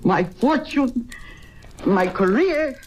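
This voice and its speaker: An actress playing an earnest, defiant career woman who is desperate to be believed, in old-time radio drama style with emphatic pauses. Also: on the high side, animated, darkish tone, full character voice.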